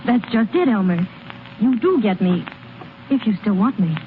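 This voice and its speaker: A woman's voice: medium pitch, lively intonation, normal speed, natural voice. Casting: actress as loyal, determined girlfriend, earnest mid-range voice, vintage radio play on a tinny recording. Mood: tender. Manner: earnest, gentle but insistent, plain-spoken. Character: loyal, tender, determined young woman, ballplayer's sweetheart. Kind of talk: old-time American radio drama acting. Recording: thin, noisy vintage radio broadcast recording.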